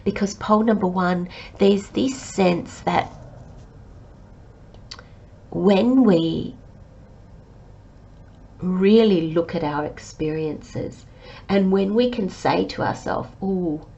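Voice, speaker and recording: Slow, gravelly woman with a cold. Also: on the low side, dull audio. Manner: slow, reflective, gently encouraging. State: has a cold, coughing. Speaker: tarot reader. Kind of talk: channelled tarot reading, talking to listeners.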